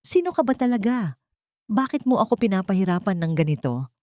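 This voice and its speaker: A woman's voice: mid-range voice, sing-song, a little fast, dark tone, no echo, pitched above the performer's own voice, natural voice. A female narrator plays a guilt-ridden businessman haunted by lost love in an audiobook melodrama. He sounds shaken and pleading. The recording is dry and close. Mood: anguished, pleading.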